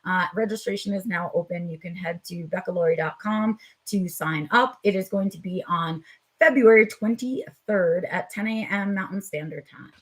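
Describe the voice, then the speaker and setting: A woman hosting her own live vlog in a casual, chatty way, her voice a little raspy and tired, with frequent ums as she talks straight to her viewers. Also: on the low side, moderate pace. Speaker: vlog host. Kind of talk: casual unscripted live chat.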